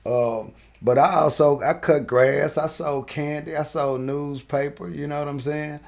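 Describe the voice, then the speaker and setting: A man's voice: a little high, steady tone, measured pace, gravelly. A middle-aged man, talking informally and in slang as an interview guest looking back on his life. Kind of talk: casual reminiscing chat.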